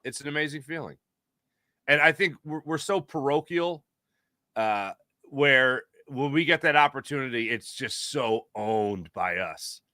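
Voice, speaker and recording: A man's voice: medium pitch, lively intonation, a little slow, sharp tone, no room sound, studio quality. An American man from the Philadelphia area hosting a sports show, talking in a casual, emphatic, conversational storytelling way. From Philadelphia area, United States. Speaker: sports talk show host. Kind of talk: casual sports talk monologue.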